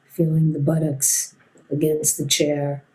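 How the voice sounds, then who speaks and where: A woman leads a guided meditation as a teacher. Her voice is low and soft-edged, with a dark, relaxed tone. She speaks very slowly and gently, leaving long pauses between short phrases. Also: rough recording.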